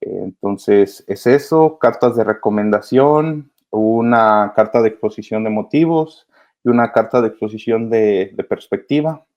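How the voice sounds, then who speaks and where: Low, creaky man, hesitant. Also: measured pace. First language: Spanish. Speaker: interview guest, migrant children's advocate. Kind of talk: casual, earnest interview answers. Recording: slightly dull, lo-fi sound.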